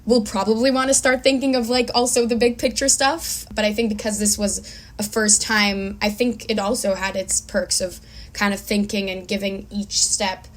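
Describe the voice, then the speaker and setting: A woman's voice: mid-range voice, fairly even intonation, moderate pace. A young woman from Israel talking casually as a filmmaker guest in an interview.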